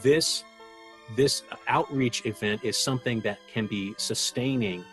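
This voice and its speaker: A man's voice: mid-range voice, a little slow, fairly bright tone, faint music behind. A man being interviewed, looking back reflectively on his experiences. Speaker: radio drama participant being interviewed.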